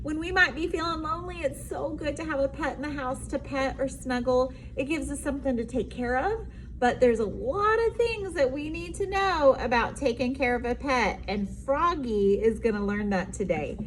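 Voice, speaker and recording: A woman teacher reading a storybook aloud with simple, emphatic explanations and an expressive delivery. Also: high-pitched, normal speed, slight background noise, rough recording.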